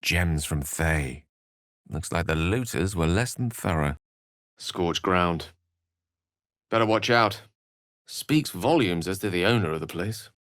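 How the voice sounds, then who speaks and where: An actor playing a learned, wry wizard adventurer in a video game, with a British accent and an eloquent, theatrical way of talking. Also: male voice, low voice, animated, slow pace, long pauses, fairly bright tone, dry recording, clean studio sound, exaggerated voice. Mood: wry, measured. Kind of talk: video game character voice acting.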